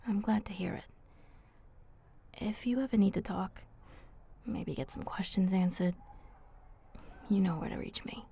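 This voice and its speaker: A woman acts out a flirty female vampire for an ASMR roleplay, speaking in a soft, breathy near-whisper. Her husky delivery is intimate and coaxing, with casual slangy phrasing and a protective streak. The timbre is dark and mellow, with touches of creak. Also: mid-range voice. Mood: apologetic, tender.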